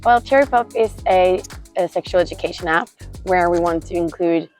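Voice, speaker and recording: A woman's voice: lowish voice, moderate pace, a little mellow, soft background music. Upbeat young woman. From Norway. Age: 20s. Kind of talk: interview self-introduction.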